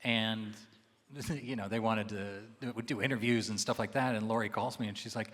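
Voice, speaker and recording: A man voice actor on a convention panel, telling stories in a relaxed way. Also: lowish voice, moderate pace, big-room sound.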